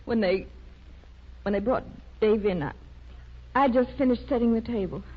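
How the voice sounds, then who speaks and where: A woman playing an anxious, distraught wife with a halting, despairing delivery in a 1930s radio drama. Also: on the high side, natural voice. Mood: tearful. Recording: noisy, muffled 1930s radio recording.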